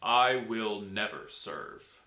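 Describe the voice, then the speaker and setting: A man voicing a proud, scornful traitor who defies his king in a storybook, precise and deliberate. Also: lowish voice, animated, sharp tone, voice pushed down, heavily acted. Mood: cold defiance. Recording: echoey room.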